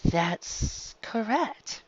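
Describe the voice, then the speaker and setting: A woman voicing a patient but gently stern female pony schoolteacher in a story, measured and instructive. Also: a little high, sing-song, dull audio, a little hiss or hum, voice pushed up, full character voice. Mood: questioning.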